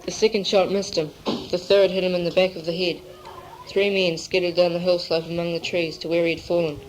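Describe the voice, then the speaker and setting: A teenager reading scripted third-person literary narration in a measured way on an amateur cassette recording. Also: mid-range voice, flat delivery. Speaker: narrator in a school drama. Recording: noisy amateur 1980s cassette tape.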